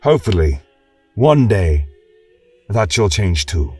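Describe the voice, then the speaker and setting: A horned fantasy veteran, voiced by a man, gentle and reflective, speaks his journal in a husky, earnest voice. The pitch moves expressively through deliberate phrases. He sounds a little far from the mic, with music underneath. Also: very animated, no echo, heavily acted. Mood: hopeful. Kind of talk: scripted reading of a journal. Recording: distant mic with music behind.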